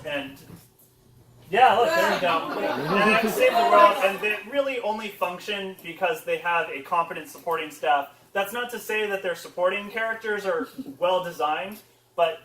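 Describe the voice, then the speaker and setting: Male comedic panel host, high voice, sweary mock-ranting, recorded far from the mic. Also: normal speed, sharp tone, some chatter behind. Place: convention panel room. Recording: echoey room, far from the mic.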